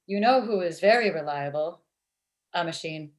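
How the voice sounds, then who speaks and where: A woman's voice: fairly low pitch, animated, normal speed, lightly acted. A woman playing a blunt, lovestruck robot matchmaker with stilted, literal speech in a comedy table read over video call. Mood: smitten. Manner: stilted, uncontracted, machine-like phrasing.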